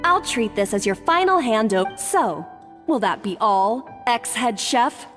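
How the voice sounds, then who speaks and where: A proud, ambitious female chef in an anime game. Her voice is fairly high and very animated, haughty and theatrical, ringing in long reverb. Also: measured pace. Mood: smug. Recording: long reverb, music behind.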